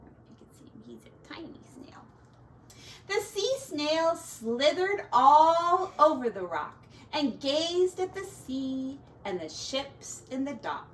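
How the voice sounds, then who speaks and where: A woman's voice: high-pitched, big pitch swings, takes it slowly. A woman teacher reading a rhyming children's picture book aloud theatrically, drawing out key words and adding little asides. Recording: roomy echo, distant mic, faint noise.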